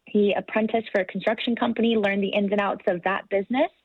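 A woman's voice: medium pitch, fairly even intonation, fast talker, slightly rough, dry recording, phone-quality sound. A woman, American-born, speaking as a guest in a podcast interview in an earnest, articulate and motivational way. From United States.

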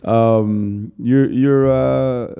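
A man's voice: medium pitch, fairly even intonation, drawn-out words, vocal fry, mellow, clean tone. A man hosting a podcast interview, talking loosely with rambling questions and frequent restarts. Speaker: podcast host. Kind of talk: casual interview chat.

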